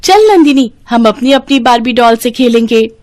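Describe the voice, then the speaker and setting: Pouty, stubborn little rich girl for a storybook, high and childlike played by a woman. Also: animated, moderate pace, a little mellow, lightly acted. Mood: excited.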